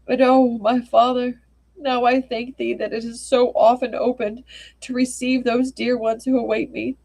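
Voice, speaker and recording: A woman's voice: on the high side, level tone, measured pace, darkish tone, pitched above the performer's own voice. A woman reading the lines of a grieving, aging man who speaks tenderly and reverently of loved ones in heaven.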